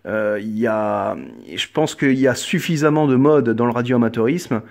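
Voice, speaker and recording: Casting: male radio hobbyist, medium pitch, casual conversational delivery, sincere and slightly halting. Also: darkish tone. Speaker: amateur radio operator.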